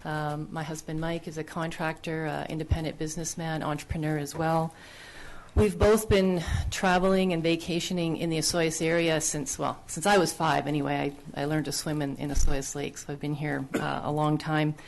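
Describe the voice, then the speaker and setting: A woman's voice: deep voice, little pitch movement, moderate pace, creaky, slight background noise, poor audio. A woman presenting her business plan at a town council public hearing, speaking earnestly into a podium microphone with frequent fillers and restarts. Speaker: business applicant. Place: council chamber.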